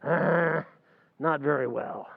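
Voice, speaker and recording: A man's voice: on the high side, slow, creaky, heavily acted. A man playing a brash New barkeep. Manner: brash, mangles big words, malaprop lecturing. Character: fast-talking, know-it-all New York bartender Archie. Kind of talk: old-time radio comedy recreation. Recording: dull, lo-fi sound.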